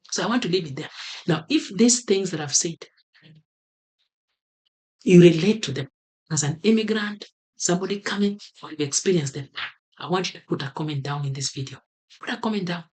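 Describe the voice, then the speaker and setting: A man from Kenya with a Kenyan accent, talking earnestly to camera and repeating his key advice for emphasis. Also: on the high side. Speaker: vlogger. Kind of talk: motivational talk to camera.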